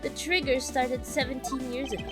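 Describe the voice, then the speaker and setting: A woman's voice: high-pitched, steady tone, moderate pace, over loud music, natural voice. A woman voicing a pompous, impatient businessman who is proud of his art in a video game. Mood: haughty.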